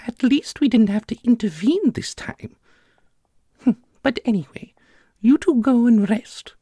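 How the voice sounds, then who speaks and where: Casting: doting fantasy custodian, theatrical and fussy, very high breathy falsetto. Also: male voice, animated, unhurried, mellow, dry recording, exaggerated voice. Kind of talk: ASMR fantasy roleplay.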